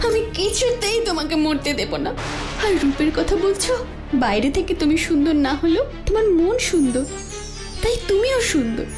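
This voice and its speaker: The kind young heroine of an animated fairy tale, pleading earnestly in a very high voice as the score plays. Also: female voice, normal speed. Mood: distressed, devoted. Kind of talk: cartoon fairy-tale voice acting. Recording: music behind the voice.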